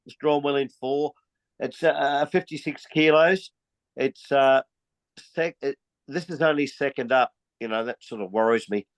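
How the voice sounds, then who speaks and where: A man's voice: mid-range voice, measured pace, clean tone, no room sound. A man with an Australian accent co-hosting a racing tips chat, joking wryly as he gives his picks. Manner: joking, wry, throws in banter. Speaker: racing tipster co-host. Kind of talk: casual podcast chat about horse racing.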